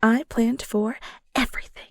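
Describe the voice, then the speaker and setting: A woman acting as a flustered, playful young best friend with a high voice full of fry. She chats and teases in a giggly, stammering way, dipping into breathiness, speaking straight to the listener in a close, dry audio roleplay. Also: steady tone, normal speed, no room sound. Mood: playful, enthusiastic. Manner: giggly, stammering, teasing, chatty. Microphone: close studio-style mic, dry.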